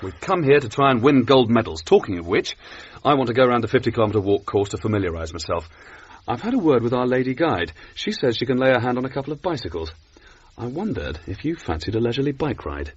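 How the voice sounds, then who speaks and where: An actor playing an earnest, polite 1930s British race walker, articulate and understated, in a radio drama. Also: male voice, medium pitch, very animated, quick pace, husky. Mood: concerned. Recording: dull radio recording, faint background noise.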